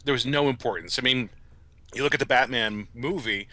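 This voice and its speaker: Bright-voiced man chatting casually. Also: medium pitch, fairly quick, no room sound. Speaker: podcast guest reviewer. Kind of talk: casual review chat.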